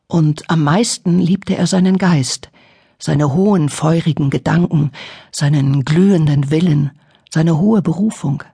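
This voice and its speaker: A woman narrating a literary radio drama in a solemn, lyrical, measured manner. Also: deep voice, creaky, warm tone, breathy edge, dry recording, clean studio sound. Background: music.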